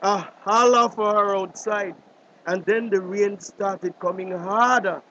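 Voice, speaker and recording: A man's voice: high-pitched, fairly even intonation, takes it slowly, sharp tone, dull audio, a little hiss or hum, full character voice. A man playing a frantic, pleading older grandfather with a Belizean Creole accent in a radio drama. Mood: distraught. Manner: urgent, pleading. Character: frantic grandfather searching for his missing granddaughter.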